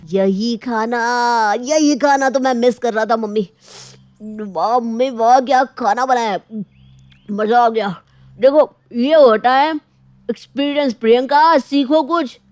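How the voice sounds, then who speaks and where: A woman's voice: fairly high pitch, unhurried, darkish tone, dry recording, lightly acted. A woman voicing a picky, pampered husband in a domestic story, with a demanding manner. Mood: delighted, smug. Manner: grumbling, demanding. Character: fussy, picky adult husband, pampered son.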